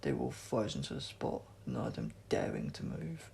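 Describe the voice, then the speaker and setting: Very gravelly male story narrator. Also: rumbling low, wildly swooping pitch, moderate pace, darkish tone, a little hiss or hum. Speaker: audiobook reader.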